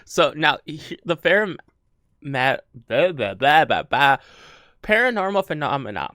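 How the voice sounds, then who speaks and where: A man co-hosts a casual podcast and reads out an account in a lively, up-and-down voice. He trips over words, fills gaps with ums and laughs often. The sound is dry and close. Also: fairly high pitch, measured pace, dry recording. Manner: stumbling, laughs often, many fillers. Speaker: podcast co-host. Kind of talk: casual chat, reading a story.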